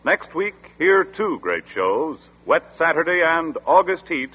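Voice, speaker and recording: A male announcer reading credits and a promo in a formal, measured way. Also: fairly high pitch, very animated, breathy edge. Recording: tinny lo-fi 1940s radio broadcast.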